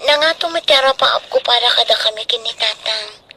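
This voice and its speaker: A woman's voice: fairly high pitch, a little fast, pushed and bright, slight background noise, poor audio. A woman acting a dutiful, anxious young daughter in an Ilocano radio drama, earnest and pleading, with a Filipino Ilocano accent. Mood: worried, earnest.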